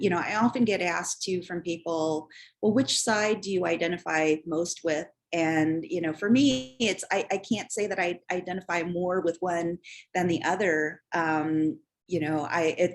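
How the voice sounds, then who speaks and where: Low-pitched woman on a panel, lots of ums and you knows, telling her family story. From Chicago, United States. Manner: halting, many "um" and "you know".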